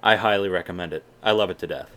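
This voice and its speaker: Young massachusetts dude, bit low voice, leftover boston accent, chill and sweary q&a vlog. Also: male voice, fast, sharp tone. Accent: mild Boston mixed with general American. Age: young adult. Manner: laid-back, swears often, chatty. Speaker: YouTuber answering viewer questions. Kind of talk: casual Q&A vlog.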